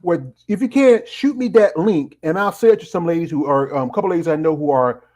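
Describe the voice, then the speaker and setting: A man's voice: on the high side, a little fast, a little mellow. A middle-aged man hosting a casual live-stream interview and reading out viewer comments, rambling and leaning on "you know" fillers. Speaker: host.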